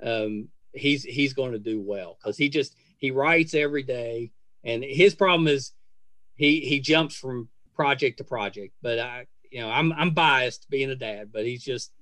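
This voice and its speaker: A man's voice: medium pitch, measured pace, fairly bright tone, no room sound. A middle-aged man hosting a podcast in a casual conversational interview style, effusive and full of praise. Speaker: podcast host.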